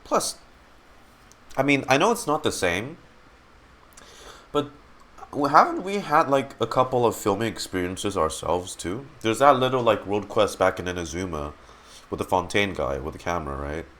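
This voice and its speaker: A man streaming his casual reaction to a game, talking in a halting, exasperated way. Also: fairly low pitch, expressive, a bit bright. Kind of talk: casual live reaction commentary.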